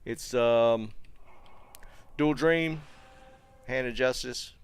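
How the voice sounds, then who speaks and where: A man's voice: mid-range voice, very slow, smooth. A middle-aged man with a Southern American accent from North Carolina, chatting casually while streaming a game and answering viewer comments, with plenty of fillers and restarts. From North Carolina, USA. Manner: relaxed, rambling, many fillers and restarts.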